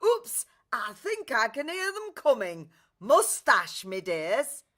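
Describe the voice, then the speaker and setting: A woman's voice: on the high side, wildly swooping pitch, slow, fairly bright tone, heavily acted. A woman playing a chatty, sentimental fairy godmother giving colloquial, gossipy asides in a pantomime radio play. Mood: gleeful, sentimental.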